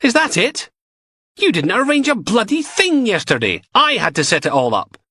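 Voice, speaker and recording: A man's voice: sits high, very animated, slow, warm tone, husky, dry recording, studio quality, voice pushed up, exaggerated voice. A man voicing a weary, exasperated male detective sergeant.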